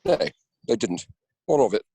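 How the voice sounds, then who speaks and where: A man playing a bewildered, blustering and rather dim gentleman in a comic amateur radio play. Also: mid-range voice, wildly swooping pitch, normal speed, vocal fry, heavily acted. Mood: indignant.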